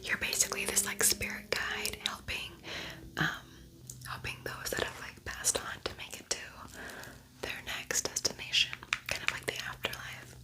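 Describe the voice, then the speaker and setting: A woman's voice: normal speed, low-quality recording. Whispering woman doing casual ASMR. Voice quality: breathy, unvoiced whisper. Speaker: ASMR beauty vlogger. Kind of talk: whispered ASMR makeup tutorial, casual chat.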